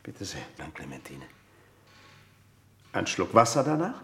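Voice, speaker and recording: An actor playing a formal, obsequious servant with quiet menace, speaking in a stilted, overly polite way in a German radio play. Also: male voice, low-pitched, very animated, unhurried, full character voice. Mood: dutiful, formal. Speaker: household servant.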